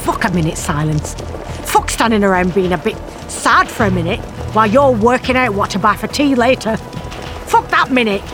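A woman's voice: medium pitch, animated, moderate pace, over music, lightly acted. An actress playing a bereaved middle-aged mother in an audio drama, confiding her story in a plain-spoken, wry and bitter way. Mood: defiant, angry. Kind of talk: audio drama narration, intimate monologue.

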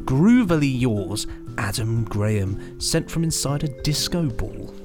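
A man's voice: medium pitch, lively intonation, measured pace. A man co-hosting a podcast, chatting and reading aloud in a presenter's style. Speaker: podcast co-host. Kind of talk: podcast chat and reading listener mail aloud. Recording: music bed behind. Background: clear music.

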